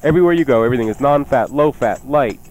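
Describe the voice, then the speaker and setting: A man's voice: medium pitch, quick pace, a little mellow, a little hiss or hum. A man hosting a casual radio cooking show, conversational, with wry asides. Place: radio studio. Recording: music playing behind the voice. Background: music.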